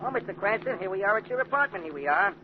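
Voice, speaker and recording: An actor playing a chatty, eager and loyal male cab driver who talks quick and sing-song, heard on a tinny, hissy 1940 radio broadcast. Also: high-pitched, lively intonation.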